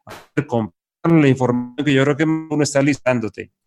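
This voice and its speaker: A man's voice: medium pitch, fairly quick. A man hosting a business podcast, upbeat and encouraging as he introduces segments. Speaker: podcast host.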